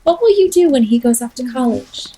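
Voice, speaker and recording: A woman playing a sensible, caring young governess with a husky voice in an audio play. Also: high voice, animated, fairly quick, mellow, full character voice. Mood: concerned.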